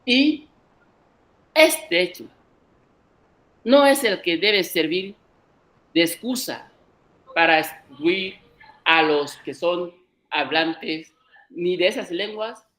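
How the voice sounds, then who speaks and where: A man's voice: sits high, expressive, slow, long pauses, pushed and bright, low-quality recording. Emphatic man from Equatorial Guinea lecturing. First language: an African language of Equatorial Guinea. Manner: emphatic, argumentative, addresses the audience directly. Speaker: guest speaker at a cultural conference. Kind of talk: unscripted public lecture.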